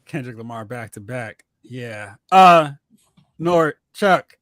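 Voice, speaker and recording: Animated man hosting a casual movie podcast. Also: mid-range voice, takes it slowly, no room sound, clean studio sound. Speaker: podcast host. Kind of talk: casual movie talk banter.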